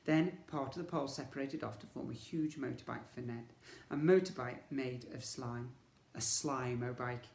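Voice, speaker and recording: A man's voice: medium pitch, normal speed, vocal fry, a little mellow, reverberant. A man narrating a storybook for young children, in the manner of a teacher reading aloud.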